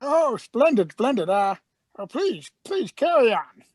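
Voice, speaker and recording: A woman playing a whimsical, eccentric doctor with drawn-out delivery in a radio play reading. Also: fairly high pitch, very animated, a little mellow, slightly rough, no room sound, studio quality, full character voice. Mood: gleeful.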